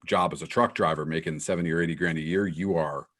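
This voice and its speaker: A man's voice: sits low, fairly even intonation, fast talker, fairly bright tone. A man from New England hosting a casual interview in an easygoing, laid-back way. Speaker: host.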